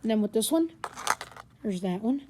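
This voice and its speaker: A woman speaks in a somewhat high-pitched voice. She gives an informal, hands-on demonstration from her living room. Her delivery is casual and rambles as she goes. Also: animated, moderate pace, mellow, a little hiss or hum. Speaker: gadget reviewer. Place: carpeted living room indoors. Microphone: handheld camera or phone, speaker off screen.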